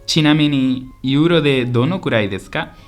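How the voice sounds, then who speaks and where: A man playing a polite, eager tourist from Spain in a scripted language-lesson radio drama, speaking in simple, clear sentences. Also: medium pitch, normal speed, faint music behind, natural voice. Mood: agreeable, curious. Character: polite, eager Spanish tourist visiting Osaka.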